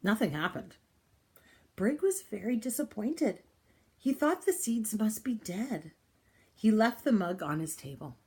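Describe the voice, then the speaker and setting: Woman reading a storybook with lively intonation. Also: medium pitch, measured pace. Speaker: storybook reader. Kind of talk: expressive read-aloud of a children's picture book.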